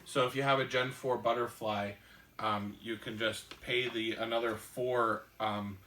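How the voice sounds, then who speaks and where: A man hosting a casual board game show, explaining the rules in a halting way with many ums and restarts. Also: medium pitch, fairly even intonation, bright, forceful tone, roomy sound.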